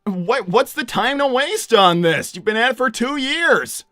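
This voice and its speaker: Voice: mid-range voice, lively intonation, measured pace, sharp tone, no room sound. An earnest young male hedgehog hero, acted out by a live roleplayer, pleading in loose, stammering speech. He is stubborn and insistent, in a close, dry recording with faint music behind. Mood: urgent pleading. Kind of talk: improvised live character roleplay.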